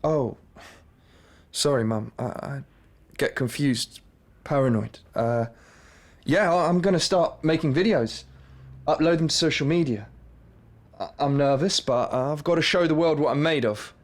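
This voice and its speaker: A man's voice: fairly high pitch, unhurried, close to an everyday voice. An actor playing a young man with schizophrenia, a nervous, stammering musician, in a radio drama. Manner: stammering, hesitant restarts.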